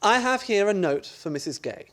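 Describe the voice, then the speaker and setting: A man plays an exasperated husband in a radio farce, his voice high for a man and swinging widely. He blusters theatrically, always scheming. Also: sing-song, moderate pace, a bit bright. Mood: confident, scheming. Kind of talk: radio play acting.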